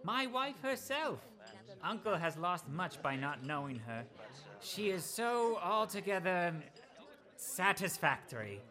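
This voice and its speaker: A man's voice: high voice, slow, full character voice. Fred, a man playing a merry young nephew. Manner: merry, laughing, lively. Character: Scrooge's cheerful young nephew Fred, warm host.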